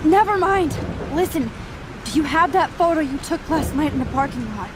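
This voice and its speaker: A high-pitched young woman, earnest, anxious and determined, in a dramatic game scene. Storm noise, rain and thunder run clearly behind her urgent voice. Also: animated, normal speed. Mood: urgent, desperate. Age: young adult. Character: anxious, determined young female photography student, time rewinder. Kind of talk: dramatic video game acting.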